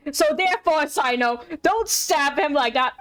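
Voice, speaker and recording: High-voiced woman streaming, playful and rambling. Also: animated, moderate pace, a bit bright. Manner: playful, rambling, mock-indignant asides. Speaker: live streamer. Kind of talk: casual game stream commentary. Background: game music.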